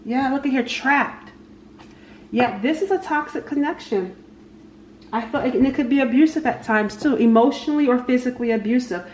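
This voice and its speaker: Woman reading tarot in an echoey room. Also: sits high. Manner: halting, repeats phrases, talks to spirit and cards. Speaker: tarot reader, channel host. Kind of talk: casual tarot card reading, unscripted. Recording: echoey room with faint background noise.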